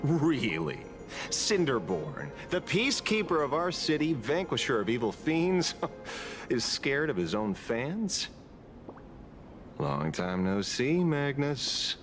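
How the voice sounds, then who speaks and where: A man's voice: mid-range voice, sing-song, takes it slowly. A man playing a sly, teasing veteran investigator in a video game, with a casual, wry and theatrical delivery. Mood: mock-dramatic teasing. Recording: music behind the voice.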